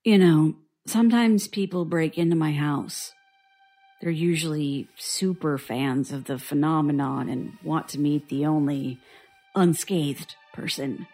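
An actress playing a bitter, guarded young woman, a traumatized survivor, in an audio drama, husky and sardonic. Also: sits low, measured pace, warm tone, soft background music. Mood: haunted, subdued. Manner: sardonic, defensive.

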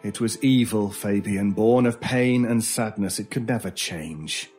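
A man acting in an audio horror drama as a stern stranger who hunts monsters, speaking in a measured, solemn, menacing way. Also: fairly low pitch, a little mellow, lightly acted. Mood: cold, resolute. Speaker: voice actor. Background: music.

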